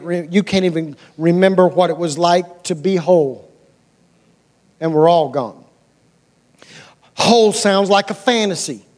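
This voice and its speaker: A man's voice: high-pitched, darkish tone. An American man preaching a sermon as a pastor, conversational and colloquial, repeating phrases for emphasis and putting questions to his listeners.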